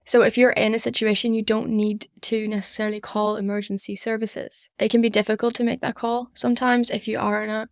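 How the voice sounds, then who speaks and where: A woman's voice: a little high, flat delivery, a little fast, few pauses, dry recording, clean studio sound. A young woman with a Northern Irish accent giving earnest, enthusiastic answers as an interview guest. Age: young adult. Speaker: student society member, podcast guest.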